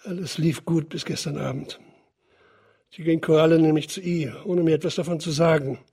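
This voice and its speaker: A poor father from the slums in a radio drama, husky and gravelly, with a dark, subdued tone. He is deferential and measured on the surface, with bitterness and quiet vengefulness beneath. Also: on the high side, fairly even intonation. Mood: proud, explaining. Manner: deferential yet bitter, measured formal storytelling.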